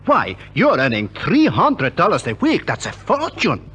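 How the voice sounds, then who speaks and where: A practical, middle-aged father in an old radio play. His voice is bright and forceful with a husky edge, and he reasons doubtfully. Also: on the high side, wildly swooping pitch, measured pace, heavily acted. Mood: doubtful, persuading. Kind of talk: 1950s radio drama acting. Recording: old 1950s radio recording.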